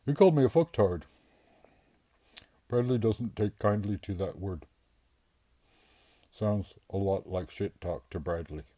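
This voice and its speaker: A man voicing a dim-witted, hulking circus strong man who talks in a simple, blustering way and often shouts. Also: low-pitched, measured pace, long pauses, no room sound.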